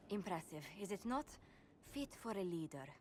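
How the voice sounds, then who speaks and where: A composed court diplomat from a fantasy video game, voiced by a woman. She speaks formally and carefully at a medium pitch, earnest and courtly. There is some noise in the background. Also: sing-song, moderate pace, darkish tone, close to an everyday voice. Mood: formal, measured. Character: poised, formal female court diplomat and advisor.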